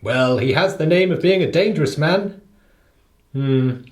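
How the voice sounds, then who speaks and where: A man acts out an affable British gentleman for a radio play, recorded in an echoing room. The voice is fairly high and lilts widely. He talks in a chatty, emphatic way, full of short phrases. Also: animated, a little slow. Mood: chatty, informative.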